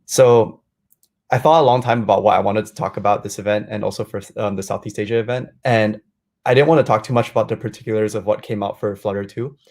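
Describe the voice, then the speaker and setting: Gravelly-voiced man giving an online talk. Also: lowish voice, fast. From Malaysia. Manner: relaxed, many ums and likes. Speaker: guest speaker, software engineer. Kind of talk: online meetup presentation. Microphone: video call.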